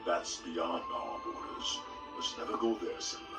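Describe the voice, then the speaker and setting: Deep calm lion dad, fatherly and slow, swoops way up and down, kinda far off in an echoey room. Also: full character voice. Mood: solemn. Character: wise proud adult male lion king. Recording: roomy audio from a distance with music. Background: loud orchestral music.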